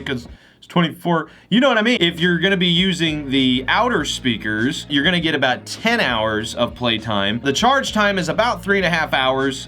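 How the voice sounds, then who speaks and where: A man's voice: fairly high pitch, sharp tone. A man hosting a gadget unboxing video, talking casually and enthusiastically to the camera in an informal, chatty way. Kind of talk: casual product review to camera.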